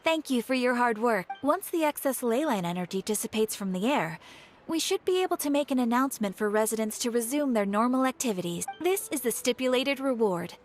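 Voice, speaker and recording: A woman's voice: a little high, lively intonation, fairly quick, soft background music, lightly acted. A woman voicing a polite, formal official in a video game. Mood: polite, businesslike. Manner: formal, courteous. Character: polite, formal female official handing out rewards. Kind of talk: video game character acting.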